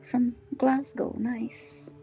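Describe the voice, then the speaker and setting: A woman co-hosting a live stream in casual chat, with a hesitant, halting way of talking. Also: a little high, fairly even intonation, vocal fry, warm tone, soft background music, poor audio.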